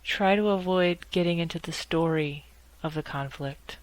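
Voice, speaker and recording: A woman guiding a meditation in a measured, instructional way, speaking in short phrases with pauses between them. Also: on the low side, rough recording. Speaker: guided meditation leader. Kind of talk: guided meditation narration.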